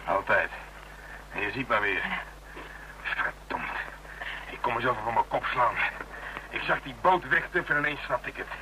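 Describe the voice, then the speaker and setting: A man acting a gruff, blunt, grumbling police detective in a radio crime drama. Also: mid-range voice, lively intonation, moderate pace, pauses often, pushed and bright. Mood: concerned. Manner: blunt, grumbling, colloquial and swearing. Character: gruff, rattled Dutch police detective nicknamed Draak. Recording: noisy radio drama recording.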